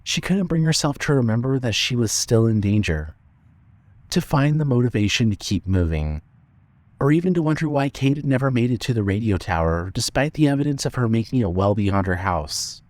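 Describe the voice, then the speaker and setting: A man's voice: mid-range voice, lively intonation, brisk, no room sound. A man narrating a scripted dramatic story as an audiobook-style narrator.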